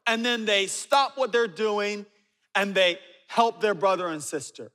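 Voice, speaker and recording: Bright-voiced man preaching in a hall. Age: 40s. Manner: storytelling with humor, dropping low and quiet for asides. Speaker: pastor. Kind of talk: sermon. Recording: reverberant hall.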